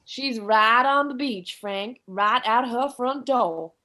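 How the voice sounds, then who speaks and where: A woman's voice: fairly high pitch, slow pace, sharp tone. A woman playing an exasperated, status-conscious mother in an amateur radio drama. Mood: nagging, insistent.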